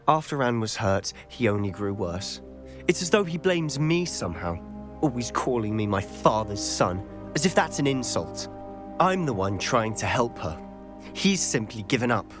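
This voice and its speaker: Lively, earnest British schoolboy wizard. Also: male voice, medium pitch. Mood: bitter, frustrated. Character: earnest, stubborn teenage schoolboy wizard, protective brother. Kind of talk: video game cutscene acting.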